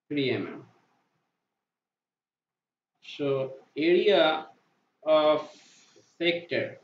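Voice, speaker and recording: Casting: male technical instructor, unhurried, higher pitch, roomy and dull lo-fi sound. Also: gravelly. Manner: slow, repetitive, asks and answers own questions. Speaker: welding inspection instructor. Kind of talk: whiteboard math tutorial. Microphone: voice-over to screen drawing.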